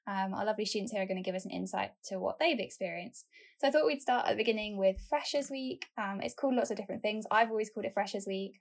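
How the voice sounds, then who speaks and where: A young woman hosting a university podcast, chatting in a friendly, casual way. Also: mid-range voice. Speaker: host, student recruitment officer.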